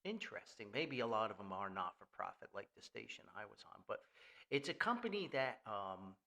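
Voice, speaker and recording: A man's voice: lowish voice, big pitch swings, fairly quick, gravelly, pushed and bright. An older man hosting a casual, rambling podcast in a folksy, jokey manner. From Paterson, New Jersey, US. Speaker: podcast host.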